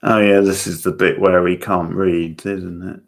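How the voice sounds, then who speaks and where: A man chatting casually in live commentary on a TV show, halting and trailing off mid-thought. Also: sits low, flat delivery, creaky. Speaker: watchalong host commenting on a TV show.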